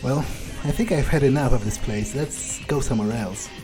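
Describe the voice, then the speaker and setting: Man roleplaying a mellow, aloof vampire loner. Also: mid-range voice, moderate pace, soft background music, natural voice, poor audio. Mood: nonchalant. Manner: casual, hesitant, nonchalant. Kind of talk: improvised in-character video game roleplay.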